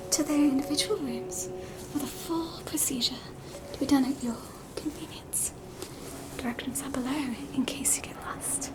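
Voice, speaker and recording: Aussie woman doing asmr whisper, high and slow, polite reassuring nurse receptionist at a horror hospital. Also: low-quality recording. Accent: Australian. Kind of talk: scripted ASMR roleplay.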